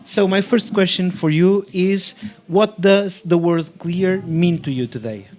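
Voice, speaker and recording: A man's voice: high-pitched, a little slow, darkish tone. A young man hosting a podcast discussion and asking short, friendly questions. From Portugal. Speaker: podcast host.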